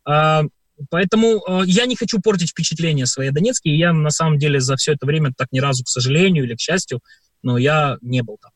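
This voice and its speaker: A man's voice: fairly high pitch, fast talker, dry recording. A man from Ukraine, a performer, answering radio interview questions at length with many hesitant filler sounds. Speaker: performer and guest.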